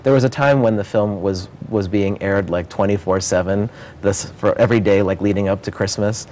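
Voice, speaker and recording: Low-voiced, earnest man being interviewed. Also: steady tone, normal speed, a little mellow, a little hiss or hum, poor audio. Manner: earnest, enthusiastic. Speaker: theater director being interviewed. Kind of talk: promotional interview about a stage production.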